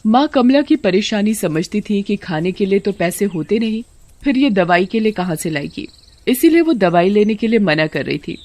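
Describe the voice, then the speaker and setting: A woman's voice: medium pitch, sing-song, moderate pace, a little mellow. Woman narrating a moral story.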